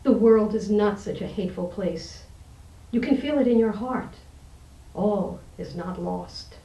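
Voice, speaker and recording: Gravelly, mellow woman in an echoey room. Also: medium pitch, unhurried, muffled recording. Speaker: interview guest, poet and teacher. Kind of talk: interview talk and poetry reading. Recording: roomy echo, distant microphone.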